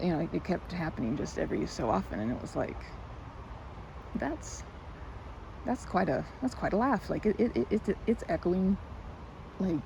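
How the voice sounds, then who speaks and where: A woman's voice: lowish voice, vocal fry. A woman playing a timid, sweet, awkward young woman in an ASMR roleplay, stammering and hesitant, with a giggly, flustered manner. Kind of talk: ASMR roleplay, improvised-sounding one-sided conversation. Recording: muffled with loud outdoor ambience noise.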